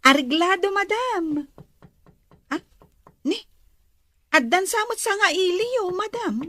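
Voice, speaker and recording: An actress playing an eager, obedient young housemaid in a radio drama. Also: female voice, very high-pitched, animated, takes it slowly, pushed and bright, exaggerated voice.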